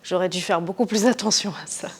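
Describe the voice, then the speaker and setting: A woman's voice: lowish voice, brisk, a little hiss or hum. Singer in her 20s, casual interview talk, lots of euh and restarts. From Guadeloupe and French Guiana. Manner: hesitant, many fillers and restarts.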